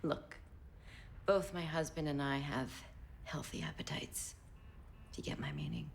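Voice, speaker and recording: An actress playing a worldly, unruffled wife, sardonic and frank, in a period drama. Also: female voice, low voice, fairly even intonation, unhurried, creaky, a little hiss or hum. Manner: wry, frank. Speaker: actor.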